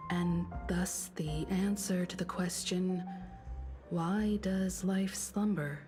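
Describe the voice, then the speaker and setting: An actress playing a calm, serious young woman in a video game, speaking in a measured, reserved way. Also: fairly low pitch, music in the background. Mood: solemn.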